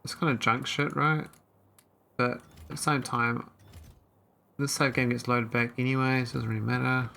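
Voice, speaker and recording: Chatty man with a gravelly voice. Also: medium pitch, level tone, normal speed, pauses often, bright, forceful tone. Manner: thinking aloud, rambling with fillers. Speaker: gameplay streamer. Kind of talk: casual let's-play commentary.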